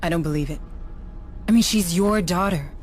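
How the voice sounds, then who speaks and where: An actress playing a composed, earnest station administrator in a sci-fi video game, speaking in a measured, sincere way. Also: female voice, on the low side, faint music behind, natural voice. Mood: earnest, reassuring. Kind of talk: cinematic video game acting.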